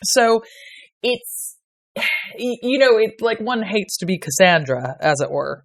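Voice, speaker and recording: A woman's voice: medium pitch, animated, slow pace. A woman with an Irish accent, a guest on a podcast, talking casually in a sardonic, sweary way and laughing often. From Ireland. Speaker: podcast guest, medieval historian.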